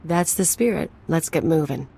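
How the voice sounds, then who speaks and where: A woman's voice: low-pitched, normal speed, vocal fry, dark tone, slight background noise. An actress playing a brash, flippant young female sidekick with a casually violent streak in a video game. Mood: playful swagger. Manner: flippant, swaggering. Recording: game music behind the voice.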